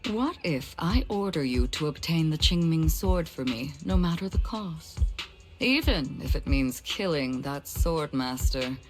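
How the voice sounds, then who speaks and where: A woman playing a composed, shrewd authority chief in a game cutscene, measured and coolly teasing. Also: sits low, animated, soft background music. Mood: cool, testing. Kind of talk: dramatic game cutscene acting.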